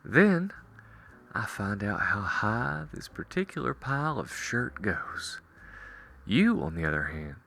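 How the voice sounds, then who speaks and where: Male actor as a cowboy ranger, slow delivery, bright forceful edge, wry and teasing, roleplay audio drama. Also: lowish voice, creaky, heavily acted. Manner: slow, drawling, wry, reassuring. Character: calm, cocky gunslinging cowboy ranger, teasing but protective.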